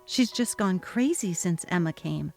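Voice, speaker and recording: A woman's voice: on the low side, lively intonation, a little slow, creaky, a little mellow, faint music behind. Woman reading a story dramatically. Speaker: story narrator. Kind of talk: dramatic reading of text messages.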